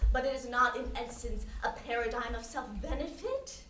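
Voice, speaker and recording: A woman playing a stubborn, evasive intellectual in a staged reading, emphatic and halting as she contradicts and lectures. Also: fairly high pitch, fairly bright tone, close to an everyday voice. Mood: lecturing, contrarian. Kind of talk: staged reading of a play before an audience. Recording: roomy, distant stage recording with faint noise.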